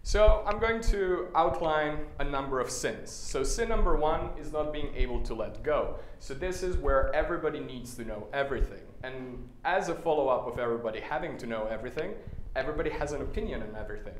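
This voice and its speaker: Casting note: man from Serbia, tech meetup speaker, middle pitch, casual and wry, echoey room with faint noise. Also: animated, fairly quick. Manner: casual, many "uh" fillers, wry asides. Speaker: conference speaker. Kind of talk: conference talk with slides. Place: meetup stage, indoors.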